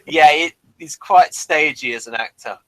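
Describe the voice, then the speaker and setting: A man's voice: fairly high pitch, moderate pace, fairly bright tone, dry recording, low-quality recording. Man chatting through an episode commentary. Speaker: host of a TV episode review commentary. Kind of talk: casual chat, episode commentary.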